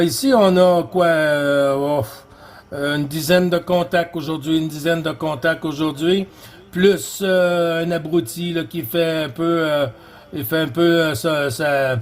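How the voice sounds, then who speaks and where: A man with a Quebec French accent and a slightly high voice runs a casual CB radio contact. He repeats his call phrases and addresses the other operator in a friendly, familiar way. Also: steady tone, a little slow. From Quebec, Canada.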